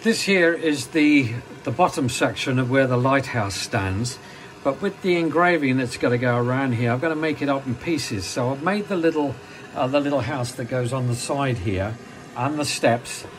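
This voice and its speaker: A man's voice: medium pitch, normal speed, rough recording. An older man, a silversmith, explaining each step plainly as he works at his bench in a small workshop. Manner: practical, plain-spoken, step-by-step.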